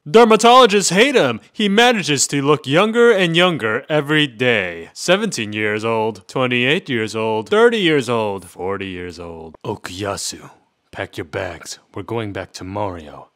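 A man performs comic dub lines with a lively, swinging pitch. His delivery is theatrical, dropping into deadpan and rising into exclamation. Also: unhurried. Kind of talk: comic dub character acting.

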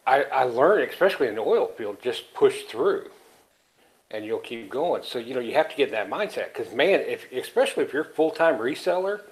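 A man's voice: mid-range voice, very animated, fairly quick, low-quality recording. A middle-aged man talks in an easygoing, folksy way, rambling through his thoughts. He co-hosts a casual podcast from a small room, speaking into a headset on a video call. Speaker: podcast co-host.

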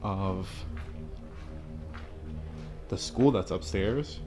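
A man's voice: sits low, very slow. Nervous young man rambling in a basement. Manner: rambling, nervous, hushed, lots of "like". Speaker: vlogger. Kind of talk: casual handheld walkthrough vlog. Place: empty concrete basement, large indoor space. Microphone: handheld phone camera. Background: faint music.

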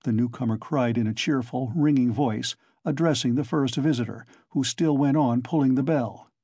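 A man's voice: medium pitch, moderate pace, warm tone, slightly rough, dry recording. A man narrating a literary audiobook in a scripted reading style.

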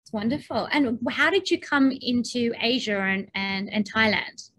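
An effusive woman presents and asks questions as the host of an online interview. Her voice is bright and a little high. The sound is close and dry. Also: normal speed, no echo, rough recording. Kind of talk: upbeat scripted introduction and interview. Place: indoors, on a video call.

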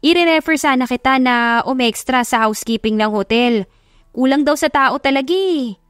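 A woman voicing a friendly, brisk older businesswoman in an audio drama, chatty and practical. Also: sits high, a bit bright, clean tone, dry recording, clean studio sound, soft background music, pitched above the performer's own voice. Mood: friendly, businesslike. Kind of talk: Tagalog audio drama acting.

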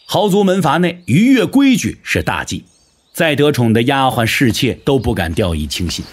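A man's voice: medium pitch, sing-song, normal speed, slightly rough. A man narrating an audio drama from a script in a dramatic storytelling style.

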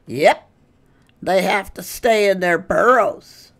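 A woman's voice: medium pitch, takes it slowly. A woman voicing an indignant, boastful hare from a children's storybook, emphatic and proudly correcting. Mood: proud, insistent.

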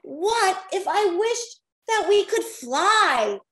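Woman voicing a high, eager storybook boy. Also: sing-song, very slow, a bit bright, reverberant, exaggerated voice. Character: excitable young boy enjoying wishes and adventures. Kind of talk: children's storybook character reading.

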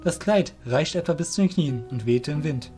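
Expressive male fantasy storyteller, fairly high. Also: normal speed, soft background music. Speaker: narrator. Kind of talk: scripted storytelling narration for a fantasy audio drama.

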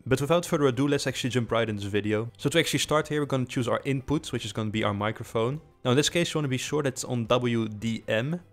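A man with a low voice giving a casual software tutorial, close on a desktop mic with a pop filter, explaining quickly with filler words. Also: soft background music. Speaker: tutorial host. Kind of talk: screen-recorded software tutorial. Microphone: desktop USB condenser mic with foam and pop filter.

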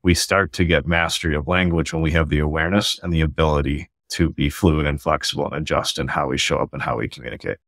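A man's voice: rumbling low, steady tone, quick pace, few pauses, a bit bright, no room sound, studio quality. A man, a guest author on a podcast, explaining his ideas earnestly in long flowing sentences over a video call.

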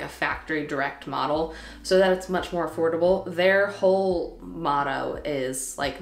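A young woman vlogging at home, talking to the camera in a bubbly, chatty way, her nose a bit stuffed up. Her voice sits fairly low. The room around her sounds bare and echoey. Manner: chatty and bubbly, laughs between phrases. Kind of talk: casual vlog talk to camera. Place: home living room and bedroom.

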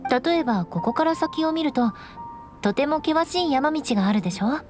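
A woman's voice: high-pitched, lively intonation, normal speed, a little mellow, soft background music. A woman playing a proud, elegant young aristocratic knight in an anime-style game, composed and instructive, strict but caring.

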